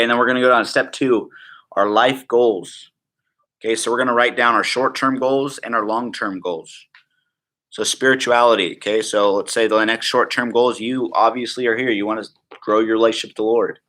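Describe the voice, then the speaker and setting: Young guy teaching a prayer class, lowish bright voice, chatty and rambling, lots of okay and right. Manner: conversational, rambling, laughs softly, asks the room "right?". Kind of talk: informal teaching talk.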